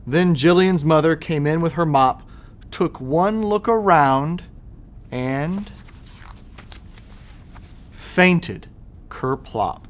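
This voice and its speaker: A man reading to children as a storybook narrator, expressive and sing-song with the rhymes. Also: fairly high pitch, very slow, long pauses. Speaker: school superintendent reading to children.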